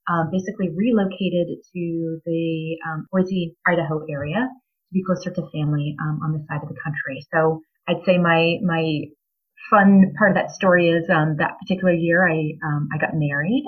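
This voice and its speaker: Low-pitched business-coach guest, 40s, measured delivery full of pauses; muffled lo-fi audio. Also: female voice. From New Hampshire, US. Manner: measured, many ums, thoughtful pauses. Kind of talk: interview conversation. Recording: dull lo-fi audio.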